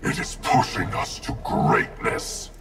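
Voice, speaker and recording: A man voicing a cold, arrogant wraith ninja clan leader in a video game, gravelly, haughty and threatening. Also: slow pace, very noisy, lightly acted. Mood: grim defiance. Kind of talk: video game character dialogue. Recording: music behind the voice.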